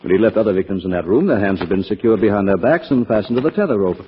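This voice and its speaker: Muffled old radio, guy playing a suave calm detective, lowish lively voice, wry. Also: very fast, lightly acted. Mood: composed. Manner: composed, wry. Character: calm, urbane gentleman sleuth explaining to companion. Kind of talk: 1940s radio drama acting. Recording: muffled, hissy vintage radio recording.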